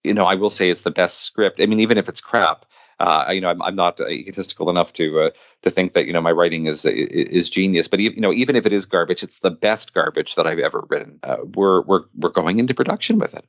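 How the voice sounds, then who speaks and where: A man's voice: sits low, fast, a bit bright, no room sound, lo-fi sound. A man speaking as an interview guest in an effusive, enthusiastic way, repeating phrases for emphasis. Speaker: podcast guest, filmmaker and actor.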